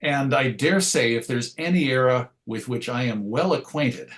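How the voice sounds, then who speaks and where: A man's voice: medium pitch, normal speed, a bit bright. A middle-aged man with a mid-range voice speaks as an interview guest. He is articulate and reflective, choosing his words with care. His delivery is conversational and modest, with a self-deprecating streak. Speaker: interview guest, writer and radio show host.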